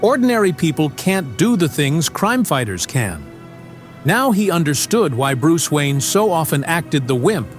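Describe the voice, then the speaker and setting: A man's voice: fairly high pitch, very animated, unhurried. A man delivering dramatic scripted narration as the narrator of an audio drama. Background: music.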